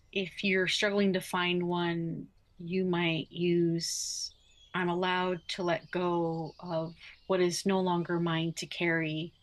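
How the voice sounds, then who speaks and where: A woman leads a guided relaxation in a slightly low voice with very little rise and fall. She is soothing and unhurried, leaving long pauses between short, gentle instructions. Her delivery is very slow throughout. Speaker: yoga nidra guide.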